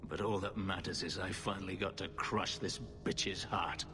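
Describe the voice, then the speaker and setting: An actor playing a brooding, bitter elf warrior and escaped slave in a video game, curt and intense. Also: male voice, low voice, steady tone, normal speed, bright, forceful tone, soft background music. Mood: bitter anger. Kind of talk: dramatic video game acting.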